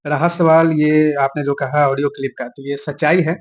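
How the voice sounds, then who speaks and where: A man's voice: on the high side, level tone, normal speed, lo-fi sound. A man with a Bihari accent answering interview questions informally, making his points emphatically and repeating them. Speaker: interview guest commenting on film-star news.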